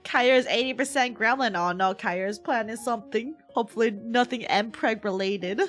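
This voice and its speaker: A woman's voice: fairly high pitch, moderate pace, soft background music. A woman livestreamer chatting casually and playfully with her viewers in a giggly, bantering way. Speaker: VTuber livestreamer.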